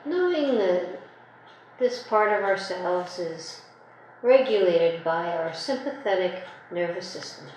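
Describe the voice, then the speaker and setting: Female meditation guide. Gentle, unhurried, long pauses. Very reverberant room, faint noise. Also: fairly low pitch, lively intonation. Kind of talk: guided meditation, soothing slow instruction.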